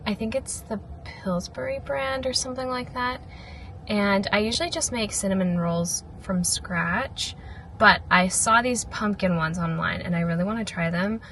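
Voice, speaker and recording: A young American woman with a slightly raspy voice, chatting casually and informally to camera as a lifestyle vlogger. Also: mid-range voice, normal speed, sharp tone, slight background noise. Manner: informal, frequent "like" and "really".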